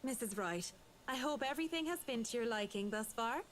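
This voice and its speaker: A woman voicing a sweet, polite young heroine of a visual novel in a gentle manner. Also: a little high, lively intonation, a little fast, a little hiss or hum, pitched above the performer's own voice, natural voice. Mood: polite, attentive.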